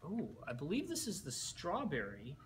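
A man's voice: fairly high pitch, huge pitch swings, normal speed, a little hiss or hum. A young man in a room, speaking into a headset mic in a playfully agreeable, mock-formal manner. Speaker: co-host. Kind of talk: mock-serious cooking commentary.

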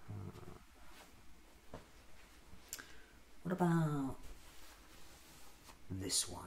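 Eccentric male time traveller acted by a man, ASMR roleplay, playful musing, lively pitch, soft delivery, noisy background. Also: gravelly, full character voice. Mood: whimsical, musing. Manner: whimsical, musing aloud, unhurried.

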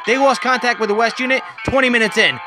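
A resolute veteran male police commissioner, acted by a man reading aloud gives terse, forceful lines. The delivery is urgent comic-book drama with music clearly underneath. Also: extremely high pitch, fast talker. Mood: grim.

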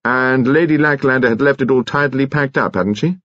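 A British man voicing a courteous, persistent police detective in an audiobook, measured and formal. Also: medium pitch, a bit bright, no echo, lo-fi sound, voice pushed up, lightly acted. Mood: polite, insistent. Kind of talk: audiobook character acting.

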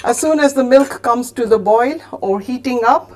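A middle-aged woman with a slightly high voice talks through a recipe as she cooks. Her delivery is chatty and instructive, with small fillers and self-corrections. She is recorded at the stove in a home kitchen set, with the mic at mid distance. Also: normal speed, darkish tone. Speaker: cooking show host. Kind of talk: cooking demonstration and recipe read-out.

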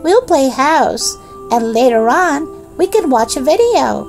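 Woman playing a little girl bear, storybook, high lifted pitch, childlike, keen, swooping. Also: big pitch swings, measured pace, darkish tone, soft background music, heavily acted. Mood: eager. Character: eager young girl bear at play. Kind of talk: children's storybook character reading.

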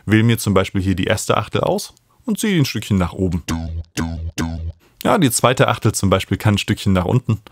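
A man, explaining music software as an instructor in a tutorial. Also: on the low side, very animated, fairly quick, dry recording, clean studio sound. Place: small home studio, indoors. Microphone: studio microphone up close.

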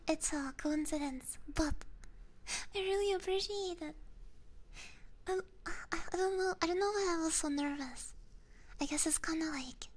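A woman hosting a live ASMR stream, chatting casually in a soft, mostly whispered voice close to the microphone. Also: very high-pitched. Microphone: close binaural ASMR microphone.

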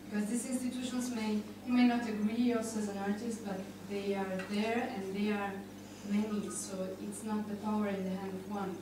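Young woman, tentative panel participant, heavy room echo and clear background noise. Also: medium pitch, little pitch movement, normal speed, hardly any pauses. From Argentina. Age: young adult. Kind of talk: informal discussion. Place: indoor conference room. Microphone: handheld microphone. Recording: echoey room, distant, noisy.

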